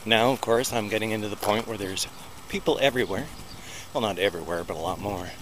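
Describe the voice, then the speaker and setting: A man's voice: lowish voice, quick pace. Middle-aged American man, chatty walking vlog, mic held close, heavy traffic noise. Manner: chatty, rambling, self-deprecating narration while walking. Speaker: vlogger. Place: outdoors beside a busy road in a small town. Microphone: small handheld recorder held close to the mouth. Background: loud passing traffic.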